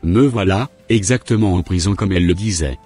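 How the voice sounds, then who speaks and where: A man playing a wayward, sheepish young swindler with a husky voice in an amateur cartoon, music behind him. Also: low-pitched, a little fast, a little mellow. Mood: subdued, remorseful. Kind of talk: amateur animated drama acting. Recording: background music.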